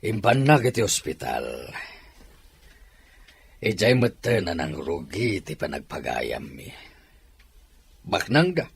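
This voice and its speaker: A man's voice: low voice, huge pitch swings, slow pace, creaky, long pauses, slightly rough, exaggerated voice. A middle-aged man playing an admonishing father in an audio drama, speaking expressively. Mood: earnest, admonishing. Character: father urging his married son to keep peace. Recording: faint background noise.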